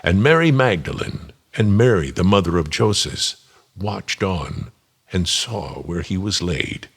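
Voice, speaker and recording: A man's voice: deep voice, big pitch swings, unhurried, breathy edge, full character voice. A man giving a solemn dramatic narration of a scripture story.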